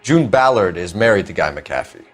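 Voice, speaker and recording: A man acting a composed, courteous police detective in a video game, measured and matter-of-fact as he questions. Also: low voice, animated, soft background music. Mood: probing.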